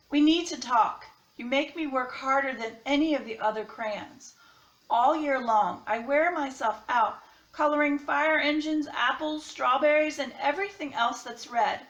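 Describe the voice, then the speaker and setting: Woman reading as an overworked red crayon, high and bright. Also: highs cut off. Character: overworked, complaining red crayon pleading for rest. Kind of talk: children's picture-book read-aloud. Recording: roomy, echoing sound.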